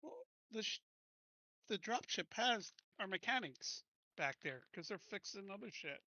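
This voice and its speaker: A man's voice: high voice, expressive, a little slow, pushed and bright, no room sound. A man talking casually as a player in a tabletop game. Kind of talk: casual chat.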